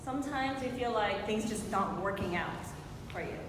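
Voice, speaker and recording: A woman's voice: animated, moderate pace. A woman in her forties from Hong Kong giving a motivational speech, telling a personal story and putting questions to her audience. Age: 40s. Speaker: motivational speaker. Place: large echoing room before an audience. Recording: very echoey hall with clear noise and rumble.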